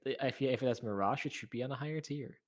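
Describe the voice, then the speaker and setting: A man's voice: mid-range voice, fast talker. Insistent playful guy on a call. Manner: insistent, repeats words, playful. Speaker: friend on a voice call. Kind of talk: casual chat over a call.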